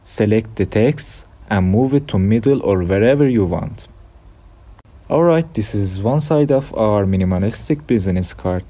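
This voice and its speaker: A young man from Iran with an Iranian accent, hosting a software tutorial with plain step-by-step instructions. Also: fairly low pitch, lively intonation, normal speed. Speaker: tutorial host. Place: dim room at a desk.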